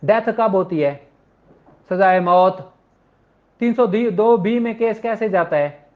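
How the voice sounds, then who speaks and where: A man with a Pakistani accent giving an informal law lecture in a conversational, questioning way. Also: high-pitched. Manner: conversational, poses rhetorical questions, acts out courtroom exchanges; switches between Urdu and slowly read English legal text. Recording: dull, lo-fi muffled audio.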